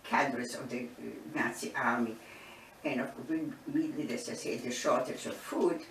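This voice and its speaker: A woman, from eastern Poland, giving oral history testimony in an unhurried storytelling way, often restarting her phrases. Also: extremely low pitch. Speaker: Holocaust survivor interviewee. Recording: roomy, distant mic, background noise.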